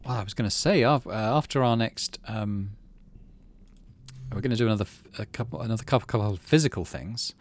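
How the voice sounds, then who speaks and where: A man's voice: fairly low pitch, brisk. A man hosting a video game playthrough, reading the story text aloud and adding casual commentary. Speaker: gaming channel host.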